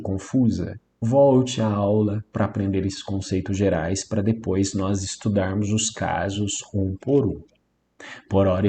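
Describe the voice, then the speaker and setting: Mellow-voiced Brazilian male language teacher. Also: fairly low pitch, slight background noise. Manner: didactic, repeats and emphasizes key points. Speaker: Biblical Greek teacher. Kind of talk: informal instructional lecture.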